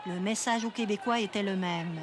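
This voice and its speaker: A woman narrating a television news report in a measured broadcast style. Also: medium pitch, noisy background.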